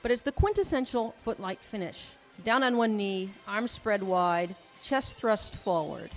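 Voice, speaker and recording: A woman's voice: mid-range voice, expressive, a little slow. A woman giving a prepared lecture to an audience, with an articulate, storytelling delivery and emphatic phrasing. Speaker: lecturer, author presenting research. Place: large hall at a conference. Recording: reverberant hall, music playing behind. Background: music.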